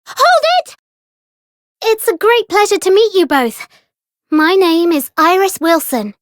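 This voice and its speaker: A woman's voice: very high voice, expressive, unhurried, no room sound, studio quality. A woman voicing a perky, precocious little girl inventor in a video game, eager and chirpy. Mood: bright, proud.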